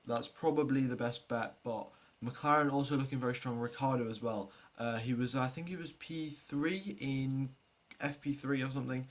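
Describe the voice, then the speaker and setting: A man with a mid-pitched voice hosts a livestream in a casual, chatty way. He speaks to his viewers and gives race preview commentary, rambling a little, doubling back on words and letting sentences trail off. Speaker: livestream host.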